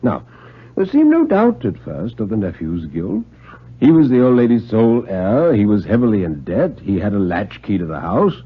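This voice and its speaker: A man voicing a genial, scheming old retired British police knight, theatrical and deliberate, in a radio drama. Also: lowish voice, very animated, husky. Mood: scheming, confident. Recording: muffled 1950s radio recording.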